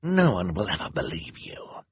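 A man voicing a vain, sinister villainess in an audiobook, with a hushed, breathy, menacing delivery. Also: fairly low pitch, huge pitch swings, extremely slow, no room sound, exaggerated voice. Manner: menacing, sneering.

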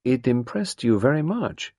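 A man voicing a gentle, grave older male detective who speaks quietly and carefully. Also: mid-range voice, very animated, unhurried, dry recording, studio quality, voice pushed up.

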